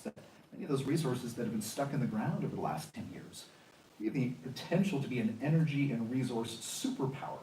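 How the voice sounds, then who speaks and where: A man gives a measured, explanatory campaign answer in a dark, relaxed voice. He lays out points like a lecturer. The recording is echoey and a little distant, with faint noise. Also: medium pitch. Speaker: political candidate and economist.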